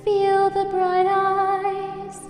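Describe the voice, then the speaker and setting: Female singer, high voice, drawn-out lullaby delivery of a poem, swimming in long reverb. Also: completely flat delivery, darkish tone. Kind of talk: slow lullaby-like singing of a poem. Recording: long echoing reverb over music. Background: music.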